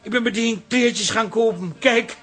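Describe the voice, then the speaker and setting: An actor playing a doting, naive husband in a Dutch radio play, speaking earnestly and sentimentally. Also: male voice, very high voice, measured pace, fairly bright tone. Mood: delighted, tender.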